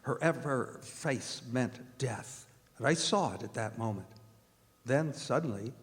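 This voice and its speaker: A man playing a haunted doctor who narrates a horror radio drama in a grave, measured way. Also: medium pitch, animated. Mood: haunted, dread. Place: performing arts center stage. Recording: live hall recording with long reverb.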